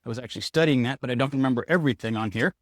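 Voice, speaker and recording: A man's voice: mid-range voice, very fast, no room sound, clean studio sound. Casual man reviewing gear. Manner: informal, thinking aloud while demonstrating. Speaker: product reviewer. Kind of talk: casual hands-on product review, speaking to viewers.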